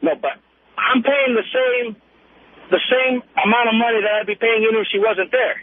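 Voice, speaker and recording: A man's voice: very high-pitched, moderate pace, no room sound. A man calling in to a radio show, talking casually in an insistent, defensive way. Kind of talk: casual phone-in conversation. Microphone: telephone. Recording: narrow tinny telephone line.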